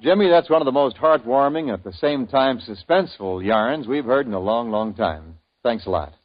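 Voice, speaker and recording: A man hosting a 1950s radio show, with a folksy, husky announcer voice. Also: mid-range voice, moderate pace, soft background music. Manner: folksy, lively announcer delivery. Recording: dull old radio broadcast.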